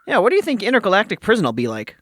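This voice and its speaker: A man's voice: high voice, wildly swooping pitch, very fast, a bit bright, no echo, exaggerated voice. A man playing a dramatic, cocky, wisecracking prisoner in a comic sci-fi audio drama, whining flippantly. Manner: theatrical, whiny, flippant. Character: dramatic, cocky, wisecracking space prisoner and self-styled medic.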